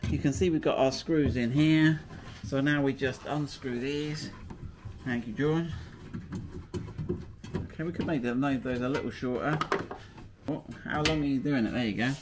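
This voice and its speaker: A man's voice: medium pitch, normal speed, long pauses, background noise. A middle-aged British man chatting casually as he works in a workshop garage, explaining his fix step by step and joking at himself. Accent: British English. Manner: chatty and self-mocking, thinking aloud. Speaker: workshop presenter. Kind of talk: casual how-to chat while working.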